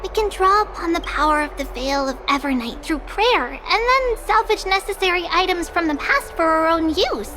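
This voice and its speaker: Very high-pitched, animated little girl. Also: normal speed, hardly any pauses, heavily acted, low-quality recording. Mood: earnest, explaining. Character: cheerful little girl prophet, small and earnest. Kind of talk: video game voice acting.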